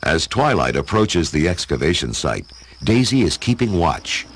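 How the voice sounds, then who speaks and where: A man's voice: sits low, big pitch swings, moderate pace, a little hiss or hum. A man reading scripted narration for a dramatic audio story.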